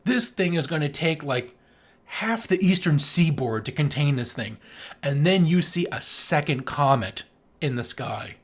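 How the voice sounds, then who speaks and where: Animated, enthusiastic podcast co-host. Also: mid-range voice, a little slow. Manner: enthusiastic, imitating sound effects. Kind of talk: casual film discussion.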